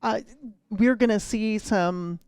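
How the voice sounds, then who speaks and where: An upbeat, chatty woman hosting the show, her voice smooth and clear. The sound is dry and close, with no room around it. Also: medium pitch, takes it slowly, darkish tone, no room sound. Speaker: host.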